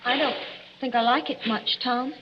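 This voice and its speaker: An actress playing an apprehensive, sweet young bride with an earnest, genteel manner in a 1940s radio mystery. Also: female voice, on the high side, fairly even intonation, normal speed, natural voice. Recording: muffled, noisy 1940s radio recording.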